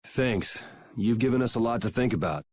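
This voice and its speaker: A man's voice: medium pitch, expressive, normal speed, a little mellow, dry recording, clean studio sound, close to an everyday voice. A man playing a cool, plain-spoken young swordsman in a video game, talking casually. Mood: thoughtful. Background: background music.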